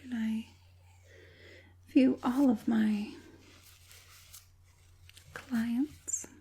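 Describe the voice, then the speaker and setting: Woman playing a slow, whispering evil witch puppet master. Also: on the high side, exaggerated voice. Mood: proud, possessive. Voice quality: breathy whisper with occasional soft voiced words. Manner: unhurried, polite, hushed, deliberate with repeated affirmations. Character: evil witch puppet master, proud, doting, quietly controlling. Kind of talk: whispered ASMR roleplay.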